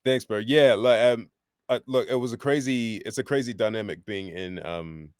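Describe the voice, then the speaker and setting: A man of about thirty, born in England and raised in New Zealand, talking in a relaxed, friendly way as a podcast guest. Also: medium pitch, normal speed, clean tone, dry recording, clean studio sound. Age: 30s. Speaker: rapper, guest. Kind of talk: casual interview over video call.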